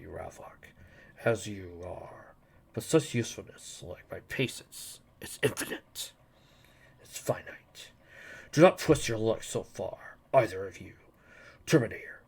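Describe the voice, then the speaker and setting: Man voicing a hushed, husky alien overlord. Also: lowish voice, pitched below the performer's own voice, exaggerated voice. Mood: quiet menace. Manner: slow, hushed, imperious. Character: cold, menacing male alien dark overlord.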